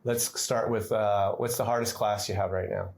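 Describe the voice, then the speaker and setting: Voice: mid-range voice, fairly even intonation, normal speed, darkish tone. A podcast host leading a casual chat with student guests in a playful, teasing manner.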